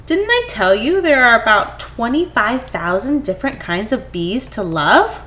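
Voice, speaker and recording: A woman reading a children's picture book aloud in an expressive, storytelling way. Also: a little high, measured pace, highs cut off. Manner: expressive, acts out dialogue. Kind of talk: animated children's read-aloud.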